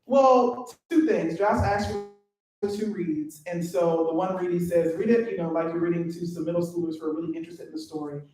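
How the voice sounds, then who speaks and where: A woman who is a poet and teacher, speaking on a live panel, excitable and quick to laugh as she tells stories. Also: fairly low pitch. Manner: excitable, laughing, gesturing storyteller. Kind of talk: panel talk. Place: event venue, live panel. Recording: very echoey, distant from the mic.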